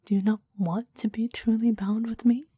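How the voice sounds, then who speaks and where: A woman voicing a possessive, devoted demon in an intimate ASMR roleplay, speaking in soft breathy whispers with a formal, intense, adoring manner. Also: fairly high pitch, flat delivery, normal speed, no room sound. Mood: insistent. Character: possessive, devoted clingy demon bound to a summoner.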